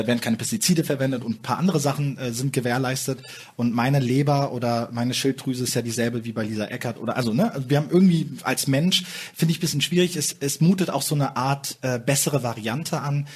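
Earnest husky man in debate. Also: mid-range voice, brisk, darkish tone. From Germany. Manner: earnest, reflective, with hesitant restarts. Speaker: panel guest, author. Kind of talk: talk show discussion.

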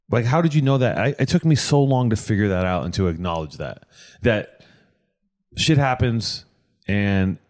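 A man's voice: lowish voice, a little mellow. A man hosting a casual podcast chat, rambling and restarting his sentences often, on a podcast microphone. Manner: rambling, with many restarts and repeated words. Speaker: podcast host.

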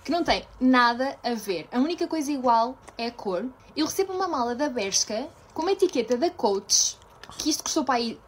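A woman with a European Portuguese accent rants in a casual vlog, exasperated and breaking into nervous laughter. Her voice sits a little high. A faint hiss of background noise runs beneath her. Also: animated, brisk. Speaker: vlogger venting in a video.

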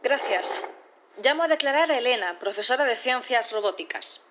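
Woman playing a high-voiced, composed female prosecutor. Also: animated, normal speed, lo-fi sound, background noise, lightly acted. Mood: probing, polite. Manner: formal, methodical, insistent. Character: composed, probing female prosecutor in a sci-fi courtroom. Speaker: actor in audio fiction. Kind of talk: audio drama acting, courtroom questioning.

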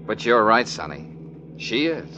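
An actor playing a wisecracking private detective in a 1940s radio drama, with a breezy, joking manner. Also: male voice, on the low side, sing-song, a little slow, lo-fi sound, noisy background.

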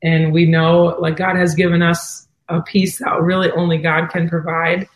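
A woman's voice: fairly low pitch, flat delivery, normal speed, roomy sound, poor audio. A woman guest who is losing her voice, speaking in an earnest, heartfelt, faith-driven way about running a wedding venue. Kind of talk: earnest interview talk.

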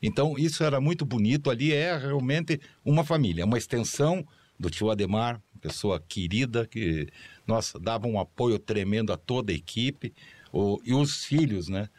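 A man speaks as a studio guest and recalls old times with animated fondness. His storytelling rises and falls with feeling as he chats at the table. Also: mid-range voice, normal speed. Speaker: former producer, studio guest. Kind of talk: studio chat.

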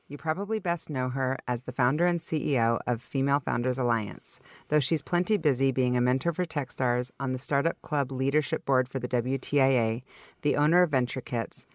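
A woman hosting a podcast interview, upbeat and enthusiastic, firing off quick questions. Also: rumbling low, dry recording. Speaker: podcast host.

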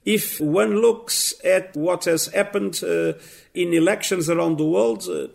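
Male guest, gravelly higher voice, deliberate and halting, political commentary. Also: a little mellow. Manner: measured, deliberate, with many pauses and fillers. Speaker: interviewed guest.

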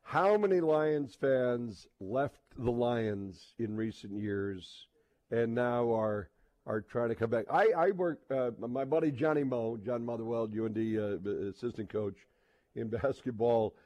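A man hosting radio sports talk, thinking aloud in a casual, halting, rambling way with repeated words. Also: mid-range voice, a little mellow, no room sound. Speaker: sports radio host, live on location.

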